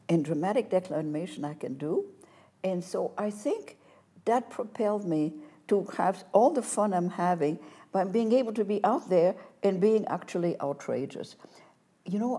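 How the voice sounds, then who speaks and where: An older woman telling her life story as a guest in a studio interview, animated and gesturing as she talks, on a lapel mic. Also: lowish voice. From France. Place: studio set, seated in armchair.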